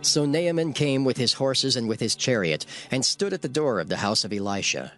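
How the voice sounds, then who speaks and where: A man narrating dramatized scripture in a measured, solemn storytelling manner. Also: mid-range voice, lively intonation, a bit bright. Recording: faint music behind the voice.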